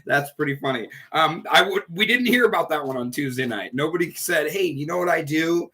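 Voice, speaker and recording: A man's voice: fairly high pitch, lively intonation, fairly quick, pushed and bright. A man with a stuffy, congested nose, hosting a chatty livestream talk at home as leader of a recovery group. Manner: chatty, rambling, upbeat. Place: at home indoors.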